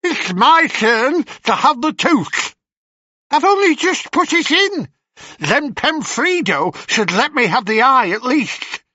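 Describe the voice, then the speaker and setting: A man voicing a frantic, greedy ancient crone, with a shrill, screeching voice pushed far above his own, slow and dull in sound. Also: very animated, pushed and bright, dry recording, heavily acted. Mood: shrieking.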